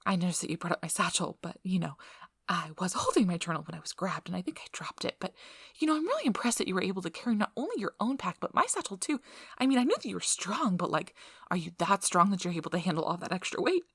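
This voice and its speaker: Nervous, eager female researcher, played by a woman for ASMR audio drama: bright, very animated, apologetic rambler. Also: lowish voice, fast, breathy edge, no room sound, studio quality, full character voice. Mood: sheepish, apologetic. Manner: flustered, apologetic, rambling, nerdy enthusiasm.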